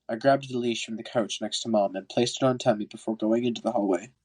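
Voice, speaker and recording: A man's voice: on the low side, fast talker, a little mellow, breathy edge. A man with a stuffy, congested nose narrates an amateur audiobook as a podcast host, now and then stumbling and rereading. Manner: stumbles over words and rereads lines. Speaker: podcast host reading a book aloud.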